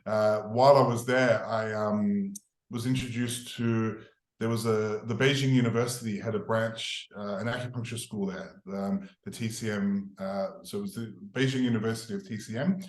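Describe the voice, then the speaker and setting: This is a middle-aged man with an Australian accent, talking at an ordinary pitch. He tells his story in a relaxed, meandering way, full of fillers. The recording has a noticeable room echo. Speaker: guest. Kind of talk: podcast interview.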